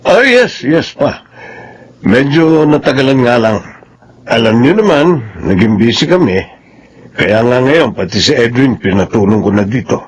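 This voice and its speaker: A man's voice: mid-range voice, animated, normal speed, husky. An actor with a Filipino accent playing a stern, domineering middle-aged father and doctor in a radio soap, scolding and mixing in English. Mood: casual, explaining. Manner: harsh, scolding, code-switches Tagalog with English.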